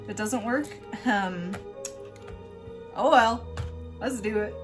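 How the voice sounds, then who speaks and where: A woman's voice: mid-range voice, slow pace, over music. A woman hosting a makeup tutorial in a casual, chatty style, with an offhand, self-deprecating and informal way of talking.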